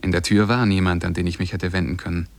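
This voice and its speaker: An actor playing a lovestruck, shy young man who tells his tale in measured, poetic German radio drama style. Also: low voice, level tone, vocal fry, dry recording. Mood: lyrical, longing. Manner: measured, poetic, careful diction.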